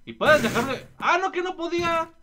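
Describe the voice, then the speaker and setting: A man's voice: very high-pitched, expressive, moderate pace, background noise. A young man with a Mexican accent giving casual, slangy, profane commentary on a video game. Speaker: gaming YouTuber.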